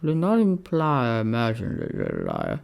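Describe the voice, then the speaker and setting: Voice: medium pitch, wildly swooping pitch, normal speed, gravelly, a little mellow, full character voice. A reader voicing a proud, lovesick Roman general in a story, formal, theatrical and drawn out. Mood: insistent.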